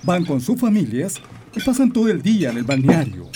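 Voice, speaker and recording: Man acting as animated family patriarch. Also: fairly high pitch, a little fast. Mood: brisk, reassuring. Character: stern but reassuring father, railway worker and patriarch. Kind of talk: radio drama acting. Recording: noisy, clinking dishes and clicks.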